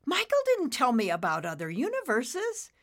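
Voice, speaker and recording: A woman voicing a young male storm cloud in a story, an eager, excitable son who stammers and sounds incredulous. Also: sits high, unhurried, pitched above the performer's own voice, full character voice. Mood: amazed.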